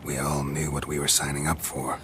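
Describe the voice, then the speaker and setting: An actor voicing a gruff, stoic monster hunter in a video game, gravelly and hushed. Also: male voice, a bass voice, completely flat delivery, a little fast, slight background noise. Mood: hushed, resolute. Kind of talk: dramatic video game voice acting.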